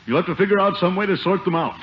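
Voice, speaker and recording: An actor playing a blustery, soft-hearted middle-aged uncle in a theatrical 1950s radio sitcom style. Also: male voice, sits high, very fast, natural voice. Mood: exasperated. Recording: dull, noisy 1950 radio recording.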